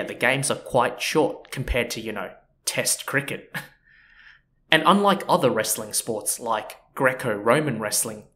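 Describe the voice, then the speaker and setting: A man hosting a history podcast, narrating in a relaxed, chatty way with frequent asides to the listener. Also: mid-range voice. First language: not Japanese. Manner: relaxed and chatty, with frequent "you know" and "so yeah". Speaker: podcast host.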